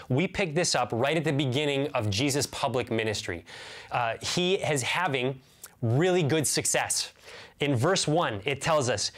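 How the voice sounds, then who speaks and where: A man's voice: mid-range voice, fairly bright tone. An American man in his thirties giving a sermon as a pastor, earnest and emphatic, repeating key phrases and asking rhetorical questions. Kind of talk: sermon, teaching to camera. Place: indoors, small room with bookshelves.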